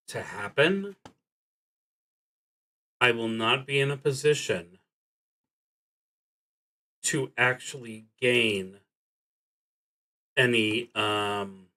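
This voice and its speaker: A man streaming a solo tabletop roleplaying game, narrating and thinking aloud in a halting, deliberate way with long pauses. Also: medium pitch, lively intonation, bright, forceful tone.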